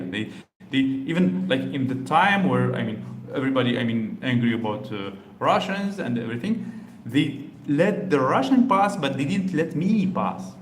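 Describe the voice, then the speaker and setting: Man, from Algeria, reaction host, halting and filler-heavy delivery, roomy echo, faint hum behind. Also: medium pitch. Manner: halting, many fillers and restarts. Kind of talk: casual commentary on a video.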